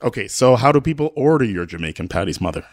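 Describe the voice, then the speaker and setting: A man's voice: medium pitch, big pitch swings, fast, no room sound. A man hosting a radio show in a casual, conversational interview style.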